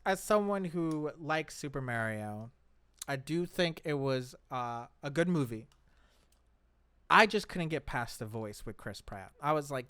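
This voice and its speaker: A man's voice: mid-range voice, pushed and bright, clean tone, no echo. A man hosting a gaming show, chatting casually and passing questions around the panel.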